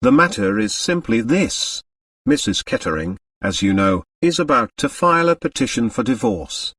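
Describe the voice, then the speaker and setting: A man's voice: mid-range voice, very animated, normal speed, a bit bright, no room sound, studio quality, recorded from a distance. A man voicing a stolid, formal go-between in an audiobook novel, careful, curt and reluctant in manner.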